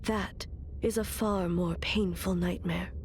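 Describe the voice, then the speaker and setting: A woman voicing a stoic warrior ruler and deity in an anime video game, speaking in a formal, measured, grave way. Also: lowish voice, fairly even intonation, darkish tone, a little hiss or hum. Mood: grave, resolute.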